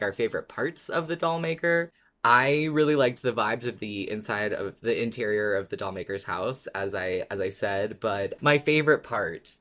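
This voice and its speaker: Podcast co-host with a mid-pitched voice, informal and chatty, prone to giggling mid-sentence. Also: a bit bright. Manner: giggly, laughs while talking. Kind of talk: casual fan discussion chat.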